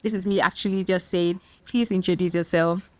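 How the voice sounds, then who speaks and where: A woman's voice: on the low side, steady tone, a little fast, no room sound. A woman with a Nigerian accent hosts a podcast interview, talking in a chatty and upbeat way. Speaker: podcast host.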